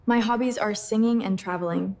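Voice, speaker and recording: Indian woman in her 20s, english teacher, short clear practice answers, scripted drill. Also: mid-range voice, steady tone, brisk, a little mellow. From Delhi, India. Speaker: English trainer.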